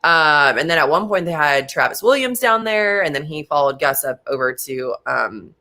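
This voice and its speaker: A man in his late twenties co-hosting a casual sports talk show, animated and quick in bursts, with many fillers. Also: fairly high pitch. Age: late 20s.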